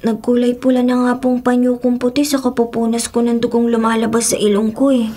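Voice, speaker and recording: A woman's voice: a little high, monotone, fairly quick, dark tone, natural voice. A woman voicing a meek, polite, sick young boy in a Philippine radio drama.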